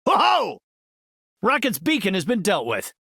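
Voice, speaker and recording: A man's voice: sits high, wildly swooping pitch, a little slow, bright, forceful tone, husky, no room sound, full character voice. A brainy middle-aged male superhero scientist from a video game, earnest and confident. His speech is formal and articulate, with widely swinging intonation, captured very dry and close. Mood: urgent, commanding. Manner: articulate, formal, erudite wording. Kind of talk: video game character voice lines.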